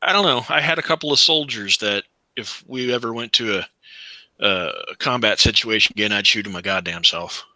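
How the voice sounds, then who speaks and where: A man's voice: lowish voice, normal speed, sharp tone, no echo, low-quality recording. A man in his late forties chatting casually on an online group call in a blunt, profane way. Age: late 40s.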